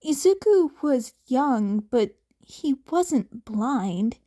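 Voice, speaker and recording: A woman reading fiction aloud as an amateur audiobook narrator, with expressive scripted delivery. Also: high voice, drawn-out words.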